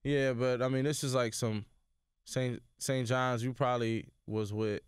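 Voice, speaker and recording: A young man with a New York accent, originally from Sudan, talking casually as an interview guest in a radio studio. He speaks in a relaxed way and repeats words as he thinks. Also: mid-range voice, a little slow, clear, resonant tone, no room sound. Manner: laid-back, repeats words while thinking. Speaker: rapper guest. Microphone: studio mic.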